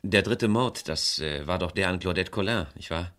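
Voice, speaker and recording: An actor playing a worried middle-aged estate agent and estranged husband in a radio crime play, talking in a confiding, hesitant way. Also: male voice, sits low, normal speed, a bit bright. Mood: resigned.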